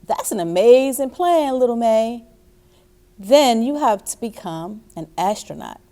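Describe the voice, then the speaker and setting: Woman as a slow, encouraging storybook mother. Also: a little high, very animated, dark tone. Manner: reassuring, patient. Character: loving, encouraging mother of a little girl.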